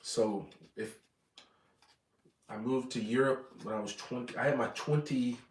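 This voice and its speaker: A man's voice: mid-range voice, fairly even intonation, a little slow, reverberant, far from the mic. An American man from Southern California in his thirties, rambling casually to his viewers and correcting himself as he goes. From Southern California, USA. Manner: meandering, corrects himself often. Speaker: vlogger.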